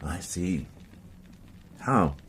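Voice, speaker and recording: An actor playing a British police detective in a radio crime drama, questioning in a terse, probing and wry way. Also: male voice, low-pitched, lively intonation, drawn-out words, a bit bright, slightly rough, full character voice. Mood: probing, restrained. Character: male police detective gently questioning a friend.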